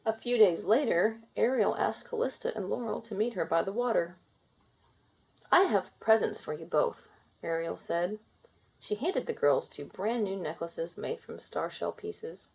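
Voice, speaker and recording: A woman narrating a children's story aloud in an expressive read-aloud style. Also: fairly low pitch, normal speed, creaky, slightly rough, poor audio. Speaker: storybook reader.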